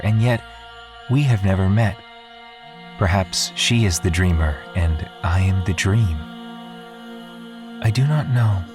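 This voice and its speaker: A man playing a detached, introspective dreamer, delivering a measured and formal monologue in an audio drama. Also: fairly low pitch. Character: brooding, detached dreamer alone on derelict starship. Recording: music behind the voice.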